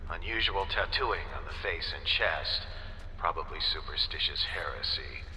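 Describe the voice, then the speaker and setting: A cold, menacing interrogator in a game. His low voice has a very hard, piercing edge and a clinical delivery, echoing through a hall. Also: expressive, normal speed, bright, forceful tone, exaggerated voice. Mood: cold, accusing. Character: cold, menacing male interrogator of religious order. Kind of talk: video game voice acting. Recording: echoey hall, faint background noise.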